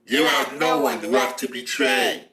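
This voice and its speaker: A man acting a dramatic, wronged cartoon character in an amateur production, with melodramatic shouted delivery. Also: medium pitch, a little slow, crowd noise. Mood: angry, dramatic.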